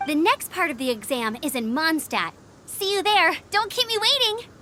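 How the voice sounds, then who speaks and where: A woman's voice: extremely high pitch, expressive, normal speed, bright, forceful tone, a little hiss or hum, exaggerated voice. A young woman playing an upbeat, encouraging female scout, over game music. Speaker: scout and gliding champion. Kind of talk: game cutscene dialogue.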